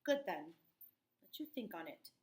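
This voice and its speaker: A woman reading the part of a wounded, suspicious Puritan wife in a play, quiet and reproachful. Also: on the low side, sing-song, moderate pace. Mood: cool, hurt. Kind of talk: classroom play read-aloud. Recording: noticeable background noise.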